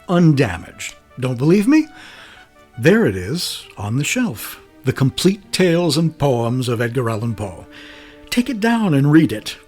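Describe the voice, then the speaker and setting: A man hosting radio theater, giving a scripted introduction in theatrical, measured storyteller phrasing. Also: mid-range voice, husky. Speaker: host, star and producer.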